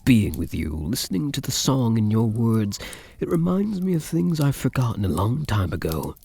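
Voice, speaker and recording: A man playing a possessive yet tender vampire count in a soft-spoken ASMR roleplay, murmuring intimately and often dropping into whispers. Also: medium pitch, big pitch swings, moderate pace, gravelly, slightly rough, heavily acted. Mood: wistful, vulnerable.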